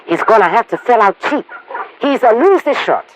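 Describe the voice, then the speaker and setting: An animated, suspicious Italian farm wife in a vintage radio drama. She talks bluntly in accented, broken English, with lots of emphasis and big swings of pitch. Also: moderate pace, slight background noise, rough recording. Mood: blunt, grumbling. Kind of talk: 1950s American radio drama acting. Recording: old radio broadcast recording.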